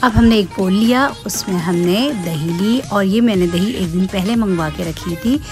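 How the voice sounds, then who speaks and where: A woman narrating cooking steps in an unhurried, explanatory way. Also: mid-range voice, a little mellow, soft background music. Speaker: cooking vlogger. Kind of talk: cooking voice-over.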